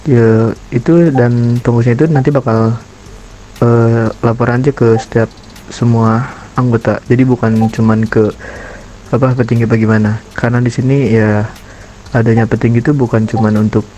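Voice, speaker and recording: A man's voice: mid-range voice, steady tone, moderate pace, warm tone, lightly acted, poor audio. A man playing a senior crime family member in an improvised game roleplay, explaining things with hesitant phrasing. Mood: explanatory, calm. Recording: faint background noise.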